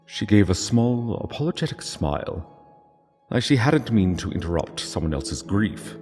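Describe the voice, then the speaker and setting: A man giving solemn scripted literary narration in a hushed, measured way, with dramatic pauses. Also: on the low side, sing-song. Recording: long hall reverb, music underneath.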